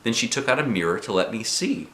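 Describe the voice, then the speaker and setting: A man's voice: medium pitch, very animated, quick pace, bright, forceful tone, echoey room, slight background noise. A man reading a children's book aloud as a dad would, with playful, theatrical expression. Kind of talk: animated storybook narration.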